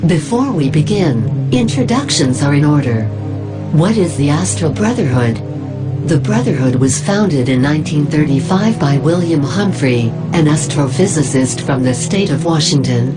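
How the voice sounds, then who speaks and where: A woman's voice: deep voice, expressive, normal speed, low-quality recording. A woman playing a devoted cult narrator, reading a formal, solemn documentary script for an initiation tape. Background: loud music.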